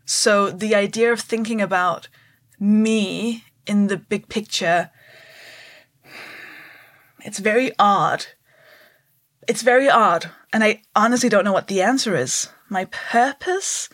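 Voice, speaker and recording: A woman's voice: mid-range voice, takes it slowly, long pauses, a bit bright, no room sound. A woman in her thirties from Denmark, a comedian guesting on a podcast, talking candidly in a wry, self-deprecating way. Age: 30s. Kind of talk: candid interview conversation.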